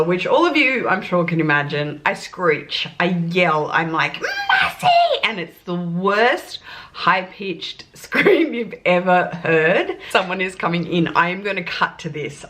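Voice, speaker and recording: Australian woman vlogger, young, lower-pitched, chatty and laughing, sitting down at home talking to her camera. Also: a little slow. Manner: animated, laughs often, warm and gushing. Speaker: luxury beauty vlogger. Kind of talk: casual chatty Q&A.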